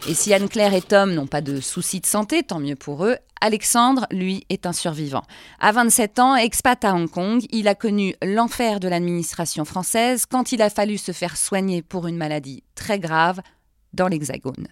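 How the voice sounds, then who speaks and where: A woman host who narrates and interviews guests in a clear, measured way. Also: mid-range voice, dry recording, clean studio sound. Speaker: podcast host and journalist.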